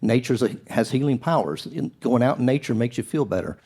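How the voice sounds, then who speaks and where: A mature man speaks as a guest on a live stage show. His voice is mid-pitched, and he talks in a casual, conversational interview style. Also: lively intonation, a little fast, dark tone. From born in Missouri, raised in Brazil. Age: middle-aged or older. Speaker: interview guest, land trust executive.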